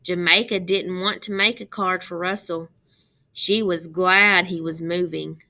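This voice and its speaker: Woman, children's read-aloud, unhurried pace, creaky edge, expressive, deliberate, teacherly questions. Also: fairly low pitch.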